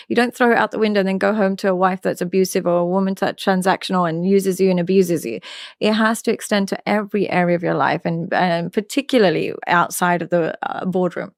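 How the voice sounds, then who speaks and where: Woman giving blunt opinions in an interview, mid pitch, dry close mic, restarts a lot. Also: no echo. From London, England. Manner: frank and assertive, with frequent restarts. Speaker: psychology coach, interview guest.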